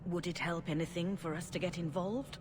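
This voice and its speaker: An actress playing a dignified elderly female cleric in a video game, speaking in a stately, measured, diplomatic way. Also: lowish voice, steady tone, noisy background, natural voice. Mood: stately, gracious.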